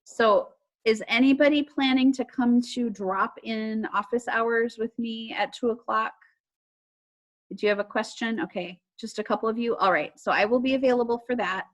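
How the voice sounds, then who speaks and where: A woman's voice: on the high side, normal speed, poor audio. A woman speaking as an elementary teacher, reading aloud and talking casually to her pupils in an online class. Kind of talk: read-aloud storytelling and casual announcements to pupils. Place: remote online class session.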